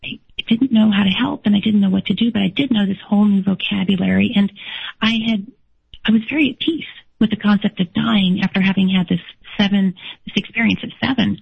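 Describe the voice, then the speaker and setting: A woman whose first language is German, hoarse today, telling her life story earnestly as an interview guest, often restarting her sentences. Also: mid-range voice, fairly quick. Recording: tinny phone-like line.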